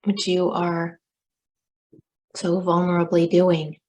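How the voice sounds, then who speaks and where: A woman life coach speaking slowly and softly on a video call, reflecting back what she hears. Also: fairly low pitch, completely flat delivery. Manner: slow, soft-spoken, reflective. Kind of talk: gentle coaching session. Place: home room on a video call.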